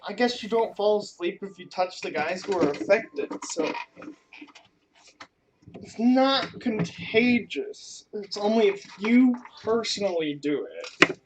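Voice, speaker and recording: A woman comments on a game as she plays, in a casual, joking way. Her pace is very unhurried. She sounds slightly away from the mic, with faint noise. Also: mid-range voice, lively intonation, far from the mic. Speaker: gamer playing and commenting.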